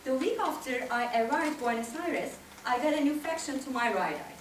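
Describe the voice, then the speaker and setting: A woman in her thirties with a Turkish accent giving an expressive, prepared storytelling speech to listeners. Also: sits high, normal speed, recorded from a distance. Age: 30s. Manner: expressive, addresses listeners directly. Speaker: club speaker, tango dancer. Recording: echoey room from afar, faint noise.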